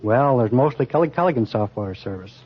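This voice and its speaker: A man's voice: medium pitch, animated, fast. Matter-of-fact man on dull old radio. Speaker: quiz show contestant. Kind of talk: conversational answers and anecdote. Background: studio audience.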